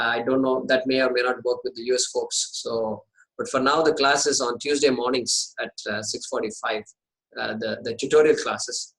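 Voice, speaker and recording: Halting man hosting a video call. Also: mid-range voice, a bit bright, rough recording. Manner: halting, many fillers and restarts. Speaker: course organizer and host. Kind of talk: casual video call talk. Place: home in India.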